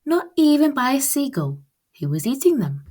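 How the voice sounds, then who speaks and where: A woman reading a children's picture book aloud as storyteller, with expressive, deliberate phrasing. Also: sits high.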